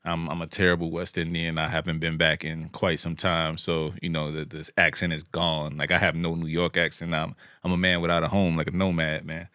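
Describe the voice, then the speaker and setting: A man in his forties from Brooklyn hosting a casual podcast interview, talking in a relaxed, rambling way with many restarts. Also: deep voice, a little fast, few pauses, clean tone, dry recording, clean studio sound. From Brooklyn, USA. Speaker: podcast host.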